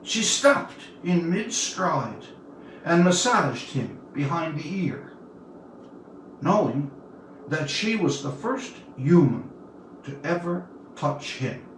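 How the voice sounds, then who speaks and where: A man in his seventies from Newfoundland, Canada, reads his own story aloud expressively, with deliberate dramatic pauses between phrases. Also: fairly high pitch, very animated. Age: 70s. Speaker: author reading own story. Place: indoor room, livestream reading. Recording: roomy, distant mic with faint noise.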